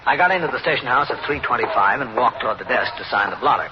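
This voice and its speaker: An American actor playing a steady, terse police captain who also narrates an old-time radio crime drama. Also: male voice, mid-range voice, rapid-fire, a crowd in the background, lightly acted. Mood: measured narration. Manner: terse, matter-of-fact. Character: steady male police captain, weary, plain-spoken narrator. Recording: muffled, noisy old radio recording.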